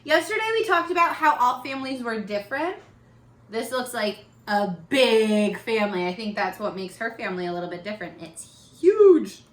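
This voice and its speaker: A woman teacher giving a read-aloud lesson for young children, expressive and encouraging, asking questions as she goes. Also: on the high side, moderate pace. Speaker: elementary school teacher. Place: living room at home. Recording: roomy, echoey home video.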